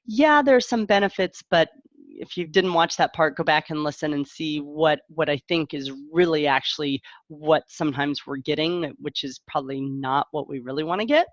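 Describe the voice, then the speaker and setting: A woman's voice: deep voice, moderate pace, no echo, lo-fi sound. A middle-aged woman talking candidly and reflectively in a casual live stream as a parent coach, often interrupting herself.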